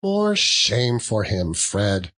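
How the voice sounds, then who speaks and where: A man's voice: on the low side, huge pitch swings, very slow, bright, forceful tone, exaggerated voice. A man voicing a spirited, indignant young wife in an audiobook. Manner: indignant, interrupting. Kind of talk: audiobook character voice.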